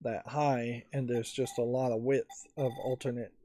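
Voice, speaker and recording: A man giving musing game commentary, thinking aloud in a halting way. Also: mid-range voice, level tone, mellow, no echo. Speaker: let's play host. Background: faint game music.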